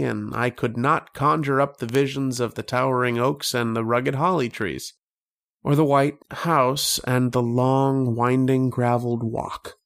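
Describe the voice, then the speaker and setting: Man acting a haunted, creaky-voiced solemn narrator. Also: medium pitch. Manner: solemn, literary, measured delivery. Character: haunted grown man recalling his obsessive dream. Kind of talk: dramatic first-person radio narration.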